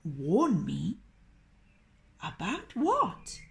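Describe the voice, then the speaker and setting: Woman as a slow-spoken, curious male traveller. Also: medium pitch, huge pitch swings, gravelly, heavily acted. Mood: wondering.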